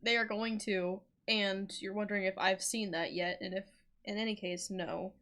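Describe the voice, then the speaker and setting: A woman's voice: medium pitch, steady tone, normal speed, a bit bright. A woman streaming a game with casual, sarcastic, reactive commentary.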